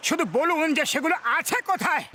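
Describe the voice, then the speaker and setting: A man voicing an excitable, kind-hearted and boastful cartoon hero in an eager, emphatic way. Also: very high-pitched, fast talker, heavily acted. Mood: confident. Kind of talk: exaggerated cartoon voice acting. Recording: music behind the voice.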